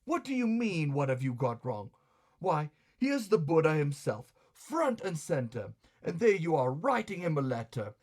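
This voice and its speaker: A man's voice: a little high, big pitch swings, moderate pace, a little mellow, voice pushed up. A man playing a grumbling, hot-tempered, vain male customer in a comic story, blustering with sarcastic, colloquial indignation. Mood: bossy, suspicious.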